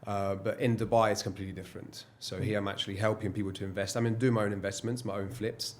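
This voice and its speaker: A man with a fairly low voice and Italian as his first language speaks in a casual business interview. He explains things conversationally as an advisor, often tagging his points for agreement. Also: flat delivery, quick pace. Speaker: investment advisor, interview guest.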